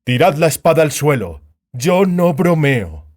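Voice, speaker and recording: A man's voice: on the high side, sing-song, unhurried, a little mellow, voice pushed up. A man voicing a venomous, mocking half-elf thug in a dramatized fantasy audiobook. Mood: threatening. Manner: menacing, mocking.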